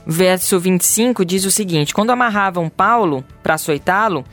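A woman's voice: fairly low pitch, moderate pace, fairly bright tone, faint music behind. A woman co-hosting a casual Bible podcast, reading passages aloud and then commenting lightly. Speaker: podcast co-host.

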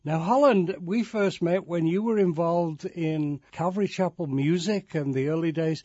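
A man's voice: a little high, normal speed, a little mellow, no echo. A man with an English accent, hosting a radio interview with inquisitive questions. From England. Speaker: interviewer host. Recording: muffled, dry radio studio sound.

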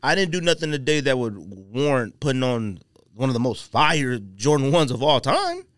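A man's voice: medium pitch, sing-song, normal speed, fairly bright tone, dry recording, clean studio sound. A man hosting a podcast in casual, slangy banter, giving his opinions freely. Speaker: podcast host.

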